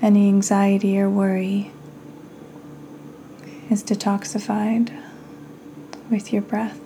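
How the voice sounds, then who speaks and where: A woman hosting a guided meditation, speaking soothingly in short phrases with long pauses and breathy endings. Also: medium pitch, level tone, mellow, slight background noise. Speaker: podcast host and meditation guide.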